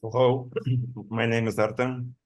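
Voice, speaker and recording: A man's voice: mid-range voice, level tone, a little slow, darkish tone. A man from Russia, a native Russian speaker, introducing himself carefully in English on a video call. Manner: careful, searching for words.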